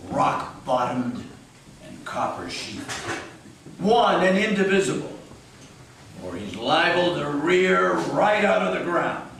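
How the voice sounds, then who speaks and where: Folksy New England yarn-spinner male actor, unhurried and dramatic, higher-pitched, stage reading recorded from afar. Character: folksy New England storyteller recalling a local legend. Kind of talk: theatrical folk-tale narration. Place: meeting house stage. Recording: echoey hall, distant mic, faint noise.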